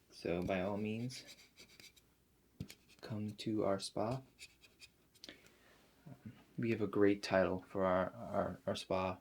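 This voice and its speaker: Slow, low-voiced creaky ASMR man. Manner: hesitant, rambling, unhurried. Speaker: ASMR host playing a spa attendant. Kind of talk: ASMR roleplay, softly addressing a client.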